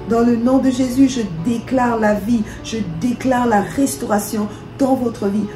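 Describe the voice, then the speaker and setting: A woman from DR Congo, a minister, leading prayer with fervent, repetitive declarations. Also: on the high side. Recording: echoey room with soft music.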